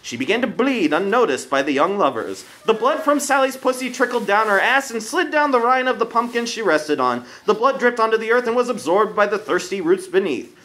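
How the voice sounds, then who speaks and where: High, bright-voiced man telling stories. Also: very animated, fairly quick, hardly any pauses. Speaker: storyteller. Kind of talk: dramatic scripted comic narration.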